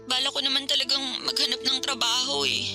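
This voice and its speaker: A woman's voice: sits high, flat delivery, fast, creaky. A woman playing a troubled, humble young college student in a Filipino radio drama, earnest and hesitant, with a Filipino accent. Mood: worried, earnest. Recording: music bed behind the voice.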